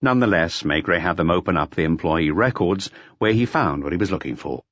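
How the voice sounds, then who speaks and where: Lively male audiobook narrator, slightly dull sound. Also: low voice, fairly quick, dry recording. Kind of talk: scripted narration of a detective novel.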